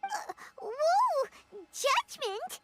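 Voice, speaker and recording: A woman's voice: very high voice, big pitch swings, very slow, over music, exaggerated voice. A woman voicing a tiny childlike fairy companion in a video game, chirpy, naive and dramatic. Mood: startled, nervous.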